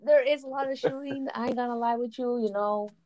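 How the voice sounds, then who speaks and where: A woman's voice: fairly high pitch, fairly even intonation, a little fast, a little mellow. A woman, an aspiring wrestler, talking in a rambling casual way full of "you know". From United States. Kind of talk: casual interview conversation.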